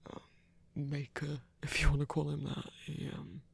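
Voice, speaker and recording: Lonely, awkward werewolf for ASMR audio drama, played by a performer: mid-pitched, husky voice with fry, gentle and stammering. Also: monotone, normal speed. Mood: wistful, hesitant. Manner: hesitant, stammering. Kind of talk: intimate ASMR audio roleplay.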